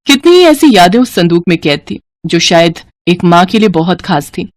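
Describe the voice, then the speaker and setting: A woman's voice: fairly low pitch, sing-song, moderate pace, no room sound, clean studio sound. A woman reading scripted storytelling narration for a Hindi-style family cartoon.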